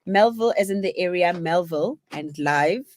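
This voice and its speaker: A woman in her forties from South Africa co-hosting a casual live-stream chat, teasing and animated as she tells stories. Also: lowish voice, normal speed, dry recording. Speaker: co-host.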